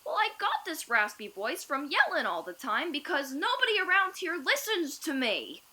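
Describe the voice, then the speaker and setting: A teenage girl playing an eccentric, rambling bag lady who talks to aliens, with a raspy voice and a ranting, confiding stage-monologue delivery. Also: sits high, wildly swooping pitch, normal speed, pushed and bright, exaggerated voice. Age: teens. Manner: ranting, confiding, addressing passers-by. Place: stage performance. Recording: echoey room with background noise.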